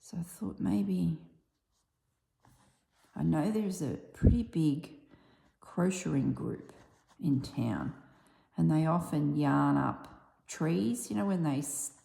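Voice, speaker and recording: Husky low-voiced Australian woman, echoey room. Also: expressive, takes it slowly, long pauses. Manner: chatty, softly drops to near-whispers while stitching. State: voice strained from yelling. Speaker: embroidery vlogger. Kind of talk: casual chat while stitching. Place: tiled room with little on the floor.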